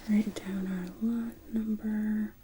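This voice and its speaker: A woman performing an ASMR medical roleplay as a doctor, gently narrating each step in a soft, breathy whisper, close to the microphone. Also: mid-range voice, flat delivery, slow, a little hiss or hum. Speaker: ASMR performer playing an emergency room doctor.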